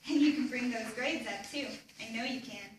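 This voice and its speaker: A woman's voice: a little high, moderate pace, close to an everyday voice. A woman playing a firm, encouraging mother in a readers theater performance. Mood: urging, encouraging. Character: firm, encouraging mother urging her struggling son. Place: echoey room, recorded from a distance. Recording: very echoey room, distant mic, faint noise.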